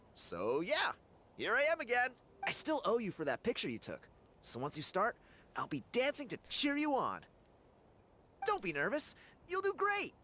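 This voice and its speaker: A woman's voice: on the low side, a bit bright, slight background noise. A woman voicing a friendly, eager young villager in a video game.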